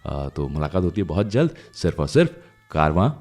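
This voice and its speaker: A man's voice: low-pitched, sing-song, a little fast, darkish tone. A man with an Indian accent hosting a radio show, talking to listeners in a conversational, reflective storytelling way about music.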